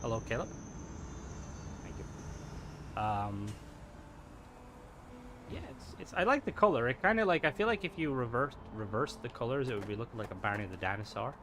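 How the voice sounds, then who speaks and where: A man's voice: mid-range voice, expressive, normal speed, pauses often, background noise. Rambling playful male streamer. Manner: rambling, filler-heavy, playful. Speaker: streamer host. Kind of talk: casual live-stream chat. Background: faint game music.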